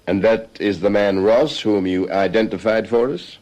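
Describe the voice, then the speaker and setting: A man acts out a police detective from a classic radio crime serial. His voice sits fairly low. He is calm and methodical, putting things formally and with measured care. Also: natural voice. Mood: probing, stern.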